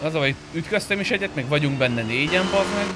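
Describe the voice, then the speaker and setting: A man's voice: a little high, animated, over music. A man talking casually as a player in an online roleplay game. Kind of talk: casual gaming chat. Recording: clear car noise behind voice. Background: game car engines.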